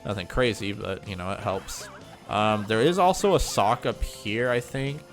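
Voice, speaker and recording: A man's voice: lowish voice, normal speed, faint music behind. A man with a slightly nasal, congested voice giving a chatty, rambling walkthrough of a video game. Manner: chatty, rambling, with frequent ums and self-corrections. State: mild sinus congestion. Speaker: gaming channel host. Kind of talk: casual gameplay commentary.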